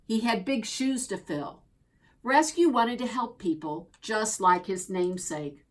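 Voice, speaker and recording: A woman's voice: mid-range voice, measured pace. Woman reading a children's storybook aloud. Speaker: storybook reader. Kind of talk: read-aloud of a children's picture book.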